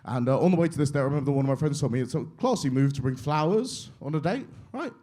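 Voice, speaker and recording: A man's voice: a little high, expressive, racing, warm tone. A man doing a stand-up set of dry, pun-heavy jokes on a comedy club stage. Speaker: stand-up comic. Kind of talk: stand-up set with deadpan puns.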